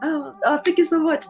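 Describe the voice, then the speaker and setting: A woman chatting informally as an interviewed band member, in a loose, conversational way. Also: high-pitched. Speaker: band singer and songwriter being interviewed. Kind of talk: casual chat.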